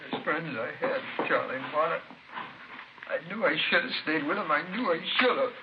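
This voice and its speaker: An actor playing a distraught, pleading parking-lot worker, halting and emotional, in a vintage radio drama. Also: male voice, a little high, expressive, lightly acted. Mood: anguished. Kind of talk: 1950s radio drama acting. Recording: dull, faintly noisy old radio recording.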